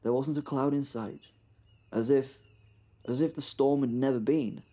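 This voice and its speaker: A man's voice: medium pitch, steady tone, warm tone. Wry British man narrating a horror serial. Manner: wry, halting narration with frequent repeats. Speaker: podcast host and narrator. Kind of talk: first-person horror audio drama narration.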